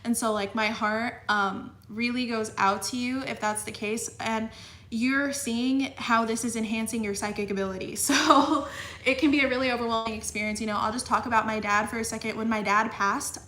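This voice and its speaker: A woman's voice: on the high side, fairly even intonation, moderate pace, sharp tone, echoey room. A young woman giving a casual tarot reading, talking in a chatty way full of fillers and a little sniffly. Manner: chatty, filler-heavy with frequent "like" and "you know". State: slightly sniffly. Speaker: tarot reader.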